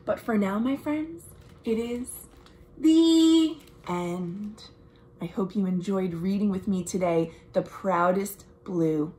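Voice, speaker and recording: A young American woman reads a picture book aloud to children, expressive and deliberate, with friendly asides like a storytime librarian. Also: medium pitch. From United States. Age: young adult. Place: small room at home.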